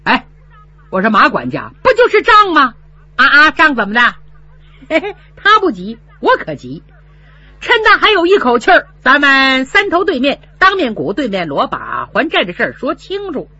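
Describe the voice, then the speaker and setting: A woman's voice: mid-range voice, wildly swooping pitch, unhurried, pauses often, husky, no echo, lo-fi sound, heavily acted. A woman voicing a devoted, hot-tempered young son in a spoken story, talking earnestly and defiantly.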